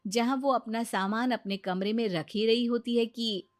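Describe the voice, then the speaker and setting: Woman storyteller with a high voice. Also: steady tone, moderate pace. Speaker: narrator. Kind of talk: scripted story narration.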